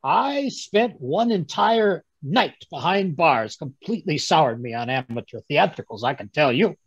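Guy doing a fussy old man in a radio play, blustery and stammery, creaky voice, dull call audio. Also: on the high side, animated, measured pace, dry recording, heavily acted. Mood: indignant. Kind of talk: 1930s radio drama acting. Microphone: video call.

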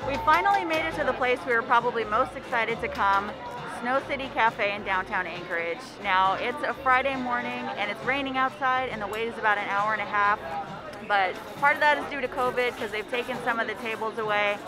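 30s woman food vlogger chatting to camera, really busy loud cafe behind her. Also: on the high side, fairly quick, over music, a crowd in the background. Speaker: travel vlogger. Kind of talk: casual food vlog chat to camera. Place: busy indoor cafes and restaurants.

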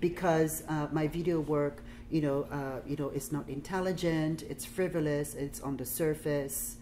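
Low-voiced woman with a Samoan accent. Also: steady tone, normal speed, warm tone, slight background noise. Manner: chatty, many fillers. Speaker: guest artist. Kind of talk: talk and Q&A. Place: gallery room, indoors.

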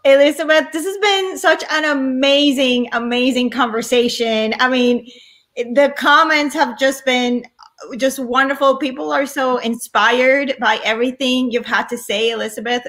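A woman's voice: sits high, steady tone, measured pace, a bit bright. A woman hosting a festival session on a video call, upbeat and effusive. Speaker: festival host. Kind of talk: enthusiastic event hosting. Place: room at home. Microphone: laptop webcam mic.

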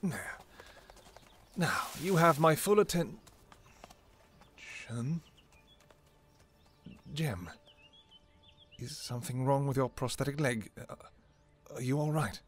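A man's voice: mid-range voice, expressive, takes it slowly, long pauses, a little hiss or hum. A man playing a devoted royal vampire king in a romantic fantasy roleplay, speaking formally and intimately to his lover. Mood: flustered, teasing. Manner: formal, archaic, intimate phrasing.

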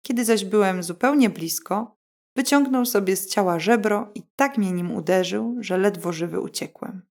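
A woman's voice: mid-range voice, moderate pace, darkish tone, lightly acted. A boastful and naive storybook wolf, acted out by a woman reading aloud. He exclaims eagerly and sounds full of himself, yet simple. The style is that of a bedtime fairy tale. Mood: battered, amazed. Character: boastful, naive male wolf, later beaten and bewildered.

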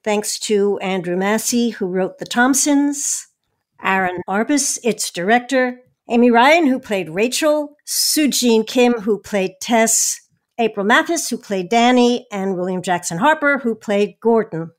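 A woman's voice: fairly high pitch, expressive, a little slow. A woman radio host interviewing guests and reading announcements in a public radio style.

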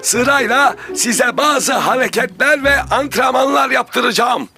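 A man's voice: very high voice, measured pace, heavily acted. A man voicing a patient, loving older grandfather who teaches his grandchildren in a children's cartoon. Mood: patient, instructive. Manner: patient, instructive, affectionate. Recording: loud music behind the voice. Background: music.

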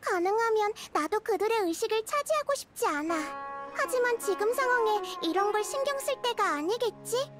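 A woman voicing a small, calm, wise girl deity in a video game, explaining things in a measured, gentle way. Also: very high voice. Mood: gentle, reassuring. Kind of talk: video game cutscene acting. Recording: music under dialogue. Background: background music.

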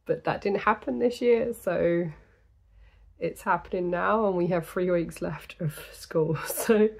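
Chatty woman with a fraying voice. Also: fairly high pitch. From United Kingdom. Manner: rambling, chatty, frequent ums and laughs. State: losing her voice, slightly gravelly. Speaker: crafting podcast host. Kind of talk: casual chat to camera. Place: small room in a flat, indoors.

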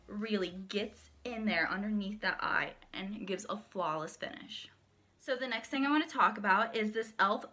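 Bright-voiced woman vlogger, a bit sick. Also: mid-range voice. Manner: chatty, enthusiastic, shows each brush to camera. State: sick, voice cracking. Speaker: beauty vlogger. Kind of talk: casual product walkthrough. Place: small room at home, indoors.

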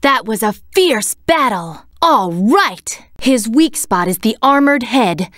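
A woman voicing a serious, composed young female cat in a video game, speaking in a measured, commanding way. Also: on the high side, expressive, a bit bright, no echo, studio quality. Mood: composed, instructive. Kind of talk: scripted game voice acting, tactical hints.

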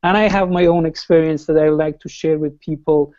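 A man's voice: on the high side, fairly even intonation, a little mellow, dry recording. Hesitant man in his forties, muffled call. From Iran. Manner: hesitant, halting, many fillers. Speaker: panel guest writer. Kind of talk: video call discussion. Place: home room by brick wall, indoors. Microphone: earphone headset on video call. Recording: dull muffled video call audio.

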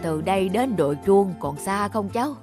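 An actress playing a kind, worried elderly grandmother in a cartoon, speaking gently and plaintively. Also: mid-range voice, expressive, moderate pace, lightly acted. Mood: friendly. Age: older. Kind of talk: animated moral tale voice acting. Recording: music playing behind the voice.

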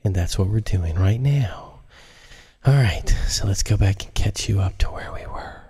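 A man with a fairly low voice reads a novel aloud as its author. He narrates like an audiobook reader and drops into casual remarks for his stream. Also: normal speed, mellow, slightly rough. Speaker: author reading own audiobook on live stream.

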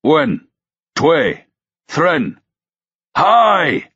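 Man acting a proud, gruff medieval knight. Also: deep voice, measured pace, a bit bright, lo-fi sound. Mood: shouting orders. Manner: harsh, brusque, archaic courtly speech. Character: proud, stern, gruff young-to-middle-aged male knight.

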